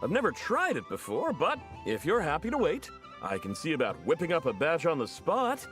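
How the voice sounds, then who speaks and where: A man voicing a friendly, upbeat young sweets vendor in a video game, chatty and expressive. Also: fairly high pitch, fairly quick. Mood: cheerful, grateful. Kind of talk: animated video game voice acting. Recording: music under the voice.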